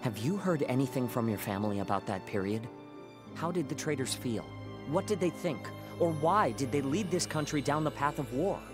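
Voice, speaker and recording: A man's voice: medium pitch, lively intonation, normal speed, lightly acted. An actor playing an earnest, idealistic young male scholar in a game cutscene, speaking formally and insistently. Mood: earnest, questioning. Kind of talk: dubbed video game cutscene acting. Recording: music behind the voice.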